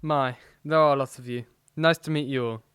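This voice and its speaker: Polite young male newcomer, medium pitch played by a man. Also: steady tone, moderate pace, fairly bright tone, clean tone, natural voice. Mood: polite, pleased. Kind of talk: audio play acting.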